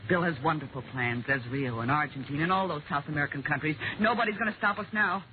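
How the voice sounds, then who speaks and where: An actress playing a bold, greedy, scheming widow in a 1950s radio detective drama, brazen and defiant. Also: female voice, deep voice, quick pace, lightly acted. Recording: noisy, tinny 1950 radio broadcast.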